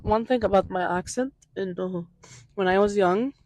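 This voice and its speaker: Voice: medium pitch, normal speed, darkish tone, poor audio. A student from Syria answering interview questions on a campus outdoors, speaking hesitantly and searching for words.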